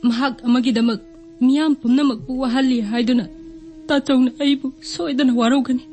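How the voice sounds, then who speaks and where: A woman's voice: sits high, a little mellow, close to an everyday voice. A woman acting in a radio drama as a bereaved younger sister, plaintive and mournful. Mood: bitter resolve. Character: sorrowful bereaved younger sister mourning her elder brother. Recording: faint music behind the voice.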